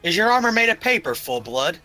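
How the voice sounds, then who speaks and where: Man playing a bold, bright-voiced cocky hero. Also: sits high, very animated, fairly quick, faint music behind, pitched above the performer's own voice, full character voice. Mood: taunting. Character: bold, cocky hero duelist, taunting yet respectful.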